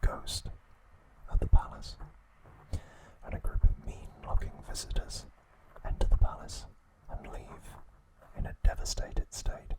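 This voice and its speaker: Man whispering slowly, ASMR style. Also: rough recording. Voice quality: breathy unvoiced whisper. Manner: slow, hushed, halting short phrases. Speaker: ASMR host. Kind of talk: whispered ASMR episode recap.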